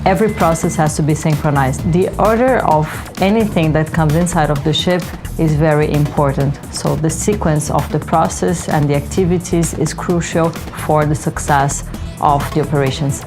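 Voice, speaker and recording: A woman's voice: low voice, normal speed. A middle-aged woman from Brazil who later lived in Germany, speaking as a consultant in interview soundbites for a promotional film. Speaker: senior consultant, project manager. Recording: music behind the voice. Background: background music.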